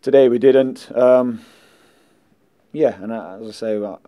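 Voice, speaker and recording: A middle-aged man and football manager answering post-match questions indoors, measured and blunt, often saying "listen" and "um" into handheld mics. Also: medium pitch, mellow, clear, resonant tone. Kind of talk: post-match press interview. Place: indoors in front of a sponsor board. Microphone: handheld mics held close.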